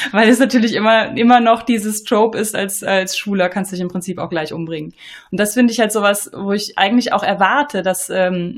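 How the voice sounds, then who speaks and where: Hesitant woman in a panel chat. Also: medium pitch. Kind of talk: casual panel discussion.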